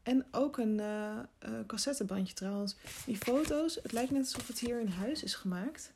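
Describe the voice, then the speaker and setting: Audio drama: actress plays curious young female reporter, high-pitched, casual, hesitant phone-message delivery, faint background noise. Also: normal speed, darkish tone, lightly acted. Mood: intrigued. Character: young woman reporter newly moved to town, curious, chatty.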